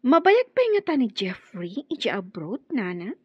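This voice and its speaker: A woman's voice: medium pitch, big pitch swings, moderate pace, husky, no echo, lo-fi sound. A woman with a Filipino accent playing a spiteful, vengeful young villainess in a radio drama, mocking and theatrical. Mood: spiteful, plotting. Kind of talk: Ilocano radio drama acting.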